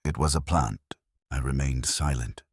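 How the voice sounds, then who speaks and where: A man reading a scripted, dramatic first-person story in a measured, deliberate manner. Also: rumbling low, breathy edge, no room sound, clean studio sound. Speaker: story narrator.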